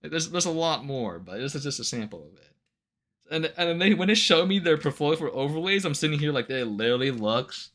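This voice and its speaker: A male live streamer with a bright, slightly high voice talks off the cuff to his viewers. His pitch moves around a lot as he rants in an incredulous, loose and sweary style. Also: brisk. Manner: animated, incredulous, heavy casual swearing; laughs often between remarks. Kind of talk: casual livestream chat, ranting to viewers.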